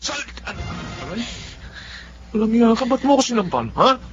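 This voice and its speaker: Radio drama role: selfish young live-in boyfriend, very high for a man, swooping, confrontational delivery. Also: very animated, normal speed, music in the background. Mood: angry, defiant. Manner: confrontational, blaming. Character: selfish, hot-tempered young live-in boyfriend, irresponsible father. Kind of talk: Tagalog radio drama acting. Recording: dull lo-fi audio.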